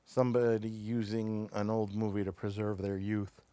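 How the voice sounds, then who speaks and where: A man hosts a casual review show in a fairly low, smooth voice. His phrasing is halting, starting and stopping as he goes. The sound is dry and close, with little room in it. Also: flat delivery, warm tone, dry recording. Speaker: show host reviewing television episodes.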